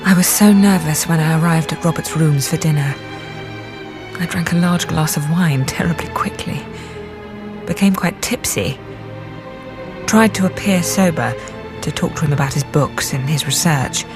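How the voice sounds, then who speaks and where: An actress playing an earnest, self-conscious young psychiatrist in love, speaking in an articulate, confiding voice in a radio drama. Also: female voice, low-pitched, normal speed, lightly acted. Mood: confiding, wistful. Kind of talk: radio drama acting with intimate narration. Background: music.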